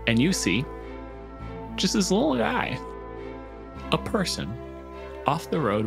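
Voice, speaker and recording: A man's voice: medium pitch, gravelly. A man narrating casually and conversationally as the game master of a tabletop role-playing game. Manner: profane, conversational.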